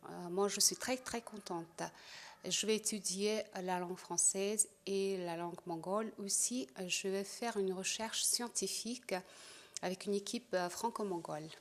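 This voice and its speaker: Hesitant woman giving a student testimonial. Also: mid-range voice. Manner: hesitant, many pauses and fillers. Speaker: doctoral student. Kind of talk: prepared spoken testimonial.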